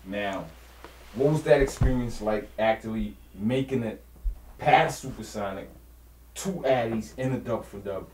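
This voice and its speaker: A man's voice: lowish voice, a little slow, darkish tone, echoey room, slight background noise, rough recording. A man interviewing guests in a casual way, direct and willing to interrupt to steer the talk.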